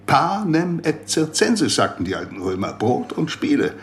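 A man's voice: a little high, big pitch swings, normal speed, a little hiss or hum. A man playing a rambling, conspiracy-minded doctor in a radio play, lecturing with theatrical emphasis. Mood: emphatic, amused.

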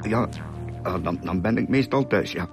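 An actor playing a flustered, defensive widower of seventy in a Flemish radio drama, halting and repeating himself. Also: male voice, fairly low pitch, music in the background, close to an everyday voice. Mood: hesitant. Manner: halting, repetitive, protesting. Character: flustered seventy-year-old retired widower, defensive homeowner.

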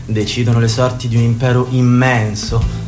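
A man's voice: mid-range voice, level tone, moderate pace, natural voice. A man acting as a grave chorus narrator in a radio drama, with a declamatory delivery. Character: grave male chorus narrator describing ruthless power. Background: music.

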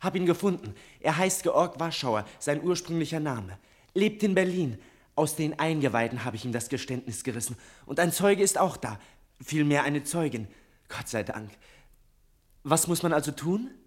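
An actor playing an earnest, impassioned young son in a radio play, intense and insistent. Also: male voice, fairly high pitch, lively intonation, normal speed. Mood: urgent, determined. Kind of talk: German radio play acting.

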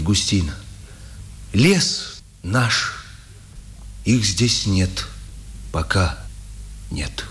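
An earnest patriot man in a vintage Soviet radio play. His delivery is expressive and theatrical, with lively melodic swings and a defiant core. The old recording carries light noise. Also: fairly low pitch. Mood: brooding. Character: warm, defiant Czech patriot in hiding, playful then impassioned. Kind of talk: 1950s Soviet radio drama acting. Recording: noisy 1950s radio recording.

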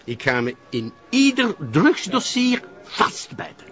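A man's voice: high voice, wildly swooping pitch, slow pace, a bit bright, full character voice. A self-assured, jovial prosecutor in a TV drama, speaking with a Flemish accent. He is hearty and emphatic with a wide-swinging intonation, and the sound is a little dull. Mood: firm, self-assured. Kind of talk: naturalistic TV drama dialogue.

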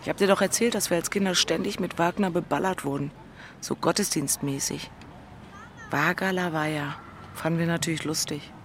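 A woman's voice: on the low side, steady tone, moderate pace, a little hiss or hum, lightly acted. An actress playing Senta, an impulsive, bookish single city woman, teasing and blunt, in a literary audio drama. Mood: grumbling.

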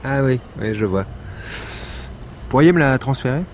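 Weary, sardonic police lieutenant: dry deadpan, mid-pitched, naturalistic podcast drama, audible ambient noise. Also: male voice, slow. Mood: sarcastic, impatient. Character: weary sardonic male police lieutenant, coffee-fuelled pessimist. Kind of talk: naturalistic French fiction podcast acting.

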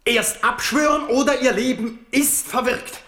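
A man's voice: extremely high pitch, measured pace, heavily acted. An actor playing an unstable, tormented young king in a German radio play, theatrical, moving between whispers and outbursts. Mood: menacing. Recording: echoey studio radio play with loud music.

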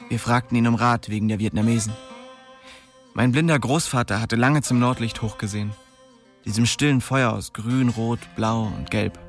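An actor playing a bitter, weary young small-town drug dealer who narrates and talks in coarse slang in a radio drama. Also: male voice, mid-range voice, lightly acted. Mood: reflective. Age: young adult. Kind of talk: radio drama, first-person narration and dialogue. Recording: music behind the voice.

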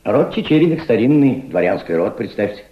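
A man's voice: mid-range voice, very animated, fairly quick, close to an everyday voice. An actor playing a proud, cultured middle-aged aristocrat turned revolutionary diplomat, reflective, oratorical and fatherly, in a radio drama. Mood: proud, declaiming. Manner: reflective, oratorical, fatherly toward a young listener. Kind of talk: Soviet radio drama acting. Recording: dull, roomy old radio play recording.

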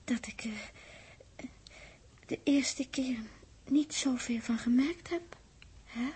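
An actress playing a weary, tender and easily alarmed young woman crew member in a 1960s radio drama, speaking haltingly and often half-whispering. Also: high-pitched, darkish tone, exaggerated voice. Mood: tender, teasing. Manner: halting, breathy half-whispers, swelling to emotional outbursts.

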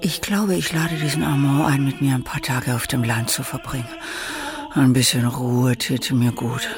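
An actress playing a worldly, pleasure-loving courtesan in a German radio play, sighing and teasing in a theatrical way. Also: female voice, rumbling low, fairly even intonation, normal speed. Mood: amused, scheming.

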